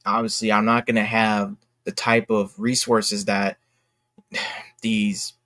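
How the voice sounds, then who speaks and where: A young man talking casually and candidly to his fans in a halting, reflective, earnest way, as an independent creator. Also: fairly low pitch, level tone, fairly bright tone, no echo, clean studio sound. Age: young adult. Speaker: indie comic creator and vlogger.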